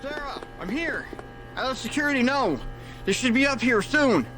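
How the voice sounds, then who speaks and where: An actor playing a young male hospital coworker, eager and animated. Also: very high voice, moderate pace, faint music behind. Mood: urgent. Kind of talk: audio drama acting.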